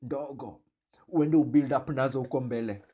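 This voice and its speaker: Animated Kenyan man commenting, joking. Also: medium pitch, normal speed, noisy background. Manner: animated, joking, mixes Swahili slang with English. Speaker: reaction video host. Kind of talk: commentary on a played clip.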